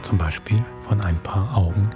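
Casting: actor as ominous male horror host, low register, scripted sign-off over loud music. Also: steady tone, moderate pace, natural voice. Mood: ominous, measured. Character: ominous male storyteller closing a campfire horror tale. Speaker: narrator and host. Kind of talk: scripted horror-series narration.

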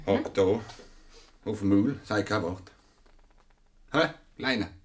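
A man's voice: on the low side, takes it slowly, background noise. A man playing a boastful, stubborn dog trainer in a wartime radio drama, blustering and repeating himself. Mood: gruff, matter-of-fact.

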